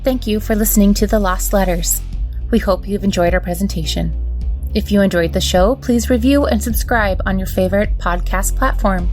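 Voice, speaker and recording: A woman's voice: medium pitch, darkish tone. A woman reading a scripted podcast outro as the show's announcer. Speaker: show announcer.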